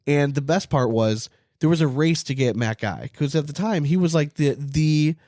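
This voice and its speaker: A man's voice: on the high side, normal speed, warm tone, no room sound. Casual male podcast co-host, close dry sound.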